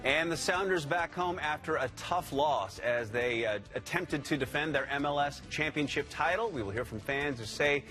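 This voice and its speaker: A man's voice: on the high side, normal speed, hardly any pauses, bright, forceful tone, faint music behind. A man working as a morning news anchor, adding brief comments and handing on to the next segment.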